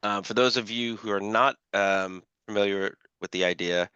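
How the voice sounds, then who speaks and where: A man chairing an online working-group call, his voice a little scratchy from allergies, talking haltingly with many fillers. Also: on the low side, steady tone, pushed and bright, smooth, dry recording. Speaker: meeting chair. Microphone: video call. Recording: dull lo-fi call audio.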